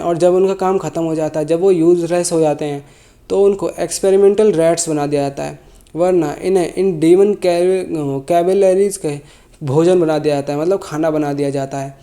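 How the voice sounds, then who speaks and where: A man's voice: high-pitched, warm tone. A man with a sore throat casually recapping a story, often correcting and rephrasing himself as he goes. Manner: loose, self-correcting, often rephrases himself mid-sentence. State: sore throat, feeling unwell. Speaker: manhwa recap narrator.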